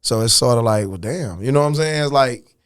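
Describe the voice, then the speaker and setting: A man talking casually as a rapper guest on a podcast, frank and profane, with loose restarts and a relaxed, unhurried way of explaining himself. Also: mid-range voice, smooth, no echo, studio quality. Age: adult, older than his early years. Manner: laid-back, frank, profane, restarts and "you know what I'm saying".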